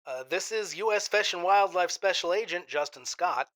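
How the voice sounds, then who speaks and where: A man playing a calm, polite federal wildlife agent speaking in a matter-of-fact, procedural way in an audio drama. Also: sits high, moderate pace. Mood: calm, official. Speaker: special agent. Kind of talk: official phone conversation in audio drama.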